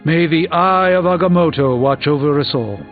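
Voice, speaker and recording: Man playing proud male sorcerer, formal, measured, wistful, theatrical game dialogue, muffled audio, music behind. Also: on the high side, lightly acted. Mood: wistful, solemn. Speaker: video game voice actor. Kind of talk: dramatic video game dialogue.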